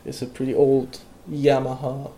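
A young man who grew up in Iran, speaking English as a guest in a casual interview, halting with many fillers and restarts. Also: medium pitch, monotone, dark tone. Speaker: guest, electronic music producer.

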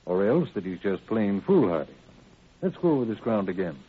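Shrewd sheriff on tinny old radio. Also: male voice, lowish voice, very animated, moderate pace, husky. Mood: deliberate. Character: shrewd, measured county sheriff leading a murder case. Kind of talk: old-time radio crime drama acting. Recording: tinny, noisy vintage radio recording.

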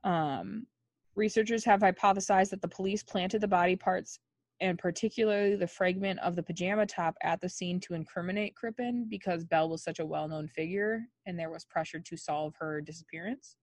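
A woman's voice: fairly low pitch, moderate pace, no echo. A woman co-hosting a podcast, telling a true-crime story in a chatty, laughing way with lots of ums. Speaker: podcast co-host.

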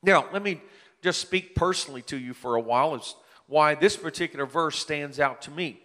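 A man's voice: fairly high pitch, normal speed, sharp tone. Late-fifties male preacher, earnest testimony sermon, rises to emphatic peaks, reverberant hall. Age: 50s.

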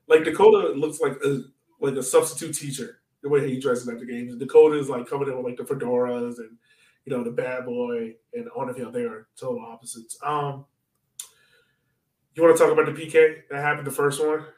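A man's voice: medium pitch, steady tone, fairly quick, darkish tone. A man co-hosting a casual soccer fan podcast, talking informally and breaking down a match. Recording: echoey room, far from mic.

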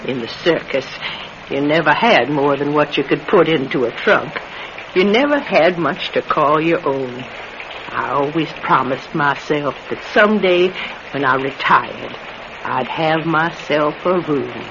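A man's voice: fairly high pitch, unhurried, creaky. An actor playing an elderly retired circus performer in a radio drama, a talkative, wary old man with a folksy, wistful way of rambling into stories. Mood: wistful, nostalgic. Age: older. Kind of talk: classic radio drama acting. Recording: dull, noisy vintage radio recording.

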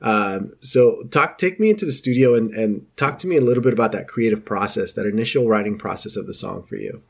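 A man's voice: fairly low pitch, fairly quick, vocal fry, husky. Upbeat chatty male interviewer. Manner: chatty, upbeat, rambling questions.

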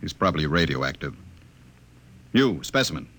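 An actor playing a haughty director of a dystopian regime in a classic radio drama, pompous, commanding and contemptuous. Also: male voice, extremely low pitch, very animated, moderate pace, a bit bright, full character voice.

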